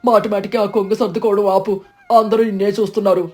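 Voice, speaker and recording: Woman voicing a fast-talking, worried village husband. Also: medium pitch, flat delivery, a little mellow, soft background music, lightly acted. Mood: worried, reproachful. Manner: anxious, reproachful. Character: worried, honest village husband scolding his boastful wife.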